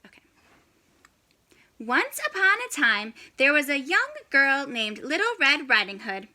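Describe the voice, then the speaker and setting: A woman reading a children's story aloud in an animated, expressive way with dramatic pauses. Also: high voice.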